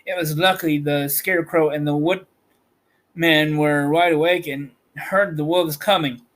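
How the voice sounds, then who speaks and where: A man narrating a storybook aloud as host, in a measured, slightly halting read. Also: a little high, steady tone. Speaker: host reading an audiobook chapter.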